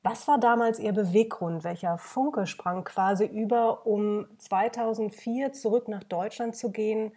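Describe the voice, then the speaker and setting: A woman's voice: medium pitch, fairly even intonation, a little slow, hardly any pauses, mellow, slightly rough. A woman hosting an interview podcast, speaking formally and reading a polished introduction. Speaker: podcast host.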